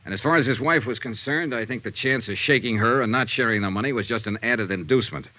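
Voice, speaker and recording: An actor playing a shrewd, cool-headed investigator in 1950s radio detective drama, with a brisk, hard-boiled, confident way of reasoning. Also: male voice, medium pitch, no echo, lightly acted. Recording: dull vintage radio recording.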